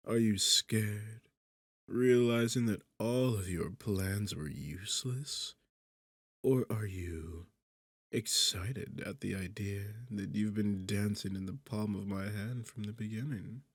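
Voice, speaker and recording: A man's voice: on the low side, takes it slowly, husky, dry recording, heavily acted. A man playing an arrogant, sarcastic demon king in a seductive fantasy ASMR roleplay, with a smug, teasing, condescending manner. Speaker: audio roleplay performer.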